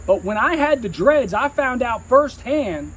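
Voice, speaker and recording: A man's voice: very high voice, lively intonation, a little slow, lo-fi sound. A middle-aged man, a rapper telling career stories in a casual, slangy, profane way, repeating phrases for emphasis. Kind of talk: casual storytelling chat.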